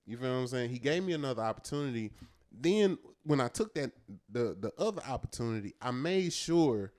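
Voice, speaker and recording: A man with a fairly low-register voice, co-hosting a podcast and telling a story in a loose, earnest way. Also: expressive, a little fast, a little mellow. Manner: loose and earnest, peppered with "man" and "you know what I'm saying". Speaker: podcast co-host. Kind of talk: casual storytelling chat.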